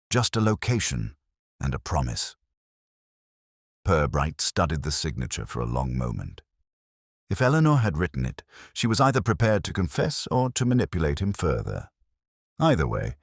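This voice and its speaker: A man narrates a scripted detective story in a dramatic style. His voice is extremely low with animated rises and falls, recorded dry and close. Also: no echo.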